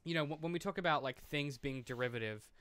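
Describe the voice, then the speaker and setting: A man's voice: a little high, fast talker, fairly bright tone, no echo. A man co-hosting a podcast, talking through a film in an informal, explanatory way and swearing freely. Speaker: podcast co-host. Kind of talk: casual film discussion.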